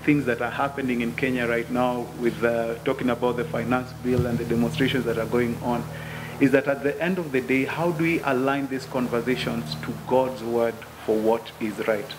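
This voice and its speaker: A man's voice: medium pitch, fairly even intonation, normal speed, background noise. A man, a minister and gospel musician, answering at a press conference in an earnest, preacherly way. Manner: earnest, preacherly, quotes scripture. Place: press conference room, indoors. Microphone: table microphone.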